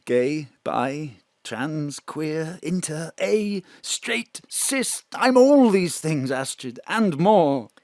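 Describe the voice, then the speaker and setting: A man's voice: fairly high pitch, animated, extremely slow, few pauses, a little mellow, no echo, studio quality, exaggerated voice. A man playing a hunted, theatrical stage star. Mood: grand. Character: man who lives as a female star, frightened and hunted. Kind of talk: audio drama acting. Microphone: home studio mic.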